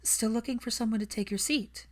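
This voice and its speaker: A woman's voice: on the high side, fairly even intonation, brisk, pitched above the performer's own voice, natural voice. Audiobook heroine, played by a female reader: determined, caring woman, earnest, persuasive, insistent. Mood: probing, concerned.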